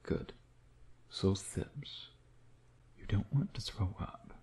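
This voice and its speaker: A man playing a smug, flirtatious vampire who dotes on his prey in an intimate ASMR roleplay, teasing and murmuring with breathy asides. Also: on the low side, slow, a little mellow. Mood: attentive, teasing.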